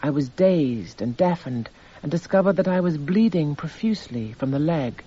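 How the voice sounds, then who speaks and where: An impassive narrator reading exhibits in a radio courtroom drama. His voice is mellow and husky, the delivery measured and detached. The recording is slightly dull. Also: on the high side, lively intonation. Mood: detached, factual. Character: impassive male narrator itemising court evidence. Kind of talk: scripted documentary-drama narration, reading evidence aloud.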